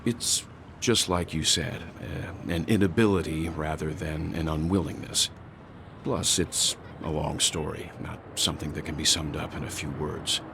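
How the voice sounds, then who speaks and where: A serious, protective traveler with a very low voice. He speaks in measured, composed phrases, earnest and calm, as in a game cutscene, with faint background noise. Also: gravelly, full character voice. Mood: calm sincerity. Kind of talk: video game voice acting.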